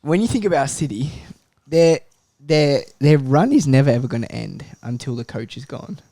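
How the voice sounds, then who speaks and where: A man's voice: mid-range voice, expressive, moderate pace, warm tone, no room sound. A man chatting casually about football as a podcast panellist.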